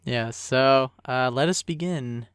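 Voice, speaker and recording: A man's voice: medium pitch, slow pace, a bit bright, smooth, no echo, clean studio sound. A man hosting a casual commentary as the creator of a series, talking over the episode's audio. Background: music and cartoon episode audio.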